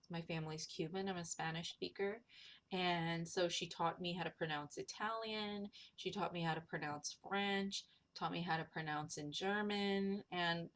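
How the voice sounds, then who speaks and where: Chatty woman with a lowish voice. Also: a bit bright. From northern New Jersey, USA. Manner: chatty, laughs often, restarts words. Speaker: opera singer, interviewee. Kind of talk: casual interview answers.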